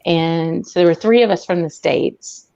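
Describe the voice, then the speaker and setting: A woman's voice: fairly low pitch, measured pace, vocal fry, a little mellow, dry recording, dull audio. A woman from Arkansas with a mixed Southern accent, a podcast guest telling stories in casual chat, with lots of ums and laughs. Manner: chatty storyteller with frequent ums and laughs.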